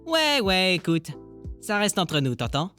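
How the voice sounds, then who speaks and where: A man's voice: high voice, big pitch swings, unhurried, sharp tone, soft background music, voice pushed up, heavily acted. Man playing a sassy, swooping cartoon hustler. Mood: sly, hushed bravado. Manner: sarcastic, theatrical, teasing. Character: sassy, sharp-tongued, flirtatious male cartoon street hustler. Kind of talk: amateur French cartoon dub.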